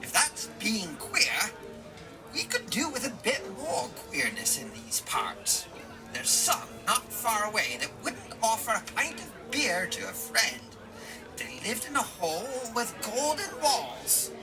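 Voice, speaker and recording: An actor playing a garrulous old rustic hobbit gardener in an audio drama, rambling and emphatic in a folksy way. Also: male voice, high-pitched, wildly swooping pitch, slow pace, heavily acted, low-quality recording. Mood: indignant, proud. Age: older. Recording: music and crowd murmur behind.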